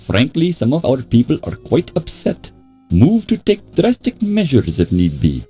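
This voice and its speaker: Husky, courteous Egyptian diplomat. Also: male voice, mid-range voice, wildly swooping pitch, warm tone, exaggerated voice. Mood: offended, veiled threat. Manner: courteous, formal, measured diplomacy. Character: polite, formal Egyptian official, persistent and veiledly menacing. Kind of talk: theatrical video game voice acting. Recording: music under the voice. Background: synthesized game music.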